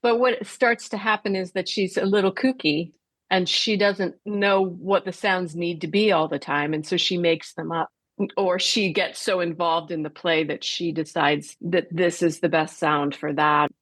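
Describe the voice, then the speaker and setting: A woman's voice: fairly low pitch, steady tone, measured pace, no echo. A woman being interviewed on the radio as a theatre director, explaining things conversationally with detailed examples.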